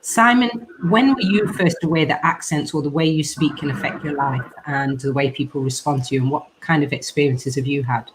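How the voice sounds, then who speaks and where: A woman's voice: low voice, brisk, few pauses, a little hiss or hum, poor audio. A woman hosting a podcast discussion over a video call, steering the talk and putting questions to her co-hosts. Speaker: podcast host.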